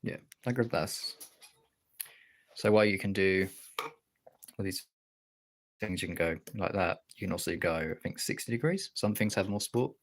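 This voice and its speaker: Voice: deep voice, moderate pace, long pauses. A streamer chatting casually while demonstrating drawing, talking in an informal, sweary way. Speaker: art streamer.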